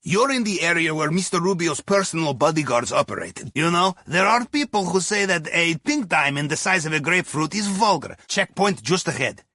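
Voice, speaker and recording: Bright, lively Russian-accented game captain. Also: male voice, on the high side, a little fast, hardly any pauses, no echo, studio quality, exaggerated voice. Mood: wry, briefing. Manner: wry, playful, theatrical, with clipped non-native English. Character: wry, upbeat Russian submarine captain guiding a heist crew. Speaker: mission guide over comms. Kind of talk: video game voice acting.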